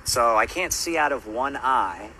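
A man in his thirties speaks into a phone held out at arm's length on a city street at night, weary and pained from an injured eye. He talks in a subdued, halting way with lots of fillers. Traffic noise rumbles clearly behind him. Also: expressive, sharp tone, low-quality recording. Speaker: street preacher. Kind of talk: phone selfie video recap.

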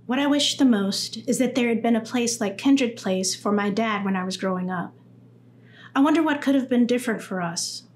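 A young woman reads a personal testimonial aloud. Her voice is fairly high, with faint noise in the recording. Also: moderate pace. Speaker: reader of a survivor's story. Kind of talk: read first-person testimonial monologue. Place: indoors against a dark backdrop.

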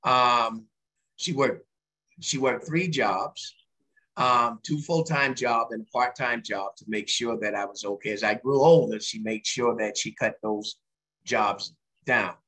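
A man from Barbados introduces himself as a candidate over a video call. His voice is bright and forceful at a middle pitch. He talks in a plain, sincere way. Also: unhurried, poor audio. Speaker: political candidate.

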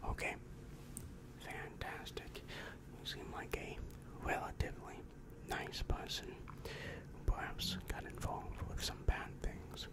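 A breathy whisper, close on the mic, carries a soothing demon counselor of hell, played by a young man. He explains things patiently at a very slow pace, calm and reassuring in the manner of an ASMR roleplay. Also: lightly acted. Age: young adult. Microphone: close-up microphone with foam windscreen.

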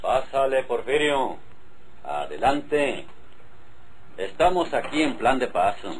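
An actor playing an authoritative but conciliatory rural spokesman in an old radio drama, deliberate, with a northern Mexican accent. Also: mid-range voice, sing-song, background noise.

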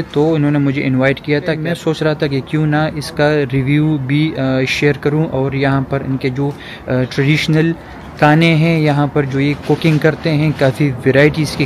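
A man, talking casually to camera as a vlogger at arm's length outdoors. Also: a little high. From Pakistan. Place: outside a restaurant entrance on a city street. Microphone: phone or camera at arm's length. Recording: noisy outdoor street with traffic.

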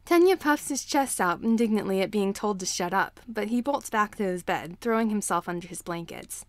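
A woman's voice: medium pitch, animated, normal speed, no room sound. A woman narrating a scripted story as a fan fiction reader.

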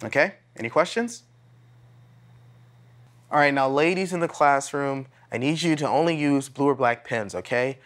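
A man's voice: mid-range voice, moderate pace, pauses often, a bit bright, natural voice. An American man in his thirties playing a blunt, earnest graduate teaching assistant instructing a class in a casual, plain-spoken way. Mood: earnest, instructive. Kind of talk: classroom instruction, acted dramatization. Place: classroom lab, indoors.

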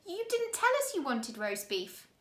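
A woman's voice: sits high, very animated, unhurried, fairly bright tone, roomy sound, exaggerated voice. A woman voicing a young orphan boy, earnest and anxious, in a read-aloud story. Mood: pleading.

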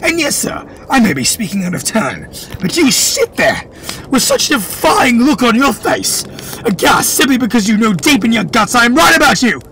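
A man reading aloud, voicing a hot-tempered young boy who shouts in high, shrill outbursts. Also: sing-song, a little fast, slight background noise, heavily acted, low-quality recording. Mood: furious.

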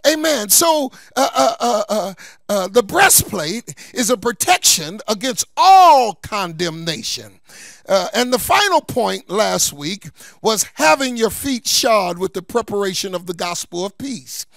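High-pitched, animated male preacher. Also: measured pace, vocal fry, no room sound. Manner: emphatic, repeats key phrases for stress; colloquial, folksy asides between scripture points. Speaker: pastor. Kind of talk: sermon.

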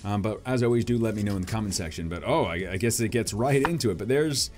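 A man's voice: on the low side, lively intonation, fast talker, over music. A man in his thirties hosting a casual vlog, joking and self-deprecating as he comments. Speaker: YouTube host reading manga aloud.

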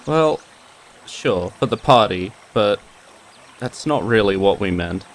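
A man's voice: lowish voice, animated, takes it slowly. A man playing a boisterous but sincere young country brother in a roleplay audio. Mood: playful, sincere.

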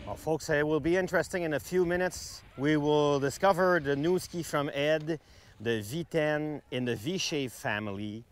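A man's voice: fairly high pitch, normal speed. Middle-aged man reviewing gear. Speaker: ski reviewer. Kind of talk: enthusiastic product review to camera. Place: outdoors on a ski slope.

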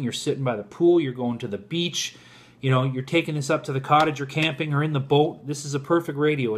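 A man in his forties talking informally to viewers in a casual product review, recorded in a home kitchen on a camera at arm's length. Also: fairly high pitch. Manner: informal, addresses viewers as guys.

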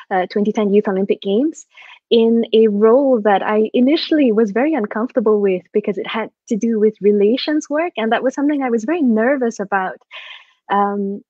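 A woman's voice: mid-range voice, no echo. A woman from Singapore in her thirties introducing herself on a video call, telling stories about her sporting life with a self-deprecating touch. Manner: warm self-deprecating storytelling with light laughs. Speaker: course co-founder. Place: indoor room, video call. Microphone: laptop or webcam mic on video call. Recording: dull dry video call audio.

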